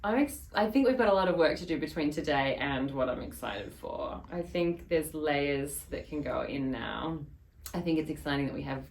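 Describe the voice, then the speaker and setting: A woman's voice: low-pitched, expressive, moderate pace, a little mellow. A woman chatting casually and thoughtfully in a behind-the-scenes conversation.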